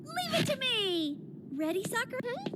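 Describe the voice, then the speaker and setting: A woman's voice: very high-pitched, big pitch swings, a little slow, very noisy, full character voice. A woman playing a bossy, playful guardian in an anime dub, teasing and theatrical. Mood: playful, showy. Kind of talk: anime English dub acting.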